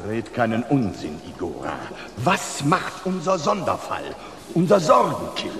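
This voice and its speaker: An actor playing a vain, fanatical mad doctor in a German radio play, theatrical, imperious and given to haranguing. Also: male voice, mid-range voice, wildly swooping pitch, measured pace, fairly bright tone, full character voice. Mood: irritated, ranting. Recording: roomy echo, faint music behind.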